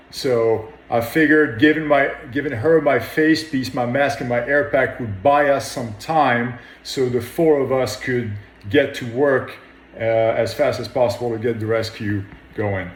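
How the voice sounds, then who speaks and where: Man, mid-pitched voice, echoey room, firefighter being interviewed, matter-of-fact, unassuming. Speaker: firefighter officer. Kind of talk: interview account of an incident.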